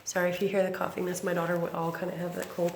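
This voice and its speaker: Thirties woman vlogger, hoarse and raspy, loose chatty delivery full of fillers; roomy echo with steady noise behind. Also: on the low side, very fast. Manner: rambling, frequent ums and self-corrections. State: raspy from bronchitis. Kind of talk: casual unboxing chat. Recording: echoey room with background noise.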